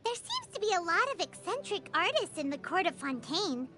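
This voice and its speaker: A tiny, childlike game companion girl with an extremely high, squeaky voice. She is excitable and quick to take offence, and her pitch swings wildly over loud background music. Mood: chatty, curious. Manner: speaks of herself in third person, excitable. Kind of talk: animated video game dialogue.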